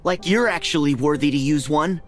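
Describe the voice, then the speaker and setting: A man's voice: a little high, moderate pace, lightly acted. A man voicing a defiant, earnest teenage boy hero in a video game, with an indignant delivery. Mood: indignant, puzzled. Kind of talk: video game cutscene acting.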